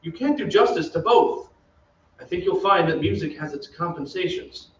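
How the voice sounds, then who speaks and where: Man playing an earnest gentleman storyteller, echoey. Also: high-pitched, expressive, moderate pace. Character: reflective gentleman narrator and suitor, earnest. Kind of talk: radio drama narration and dialogue. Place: theatre stage, live reading. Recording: echoey stage, distant mic, muffled, hiss.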